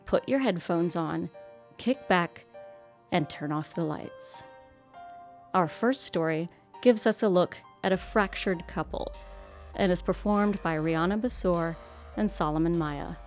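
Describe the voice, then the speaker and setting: A woman's voice: fairly low pitch, measured pace. Wry, theatrical woman host, music behind. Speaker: host of a radio horror show. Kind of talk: scripted introduction narration.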